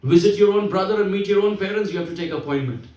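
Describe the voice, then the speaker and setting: A man's voice: sits high, quick pace, dark tone, far from the mic. Emphatic man preaching in an echoey hall. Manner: emphatic, repeats key phrases. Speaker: spiritual speaker. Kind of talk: sermon.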